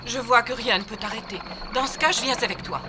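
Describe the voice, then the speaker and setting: Woman as a serious, high-voiced protective lady. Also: quick pace, background noise, lightly acted. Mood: resolved. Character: concerned, protective lady friend warning the heroine. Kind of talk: dubbed children's cartoon acting.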